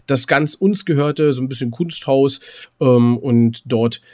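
A man chatting casually as a podcast host, rambling and halting, often repeating his words mid-sentence. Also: mid-range voice, mellow. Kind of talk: casual chat between co-hosts.

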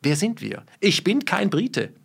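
A man's voice: high voice, very animated, unhurried, vocal fry, breathy edge. A man from Germany, talking in a heated conversation with emphatic rhetorical questions and blunt swearing.

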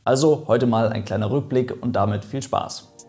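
A man's voice: medium pitch, moderate pace. A man hosting an online aviation video, explaining events in a chatty, lightly joking way. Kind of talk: casual explainer narration.

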